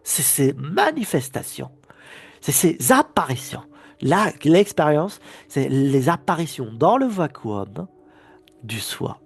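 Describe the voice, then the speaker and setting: A man with a head cold teaches a spiritual lesson on video. His voice is husky, and his pitch swings widely as he stresses key words. He often breaks off and restarts his phrases. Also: big pitch swings, slow. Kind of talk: recorded video lecture.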